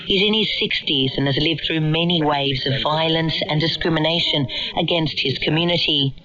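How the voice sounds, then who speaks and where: A woman's voice: deep voice, moderate pace, a bit bright. A woman reporter narrating a documentary and asking interview questions, heard on a shortwave radio capture. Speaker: reporter and presenter. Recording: muffled shortwave radio capture, crowd-like background.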